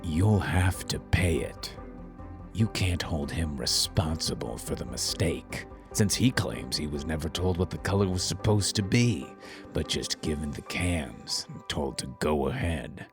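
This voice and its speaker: An actor playing a stern, blunt, indignant village magistrate in a dramatized audiobook. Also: male voice, extremely low pitch, lively intonation, a little slow, music in the background, exaggerated voice. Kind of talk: dramatized audiobook character acting.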